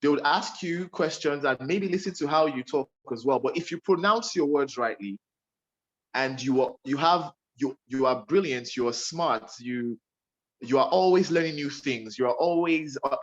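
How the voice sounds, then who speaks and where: A man's voice: medium pitch, moderate pace, muffled recording. A young man with a Nigerian accent, a radio presenter giving earnest, emphatic advice to a young interviewer and often repeating himself.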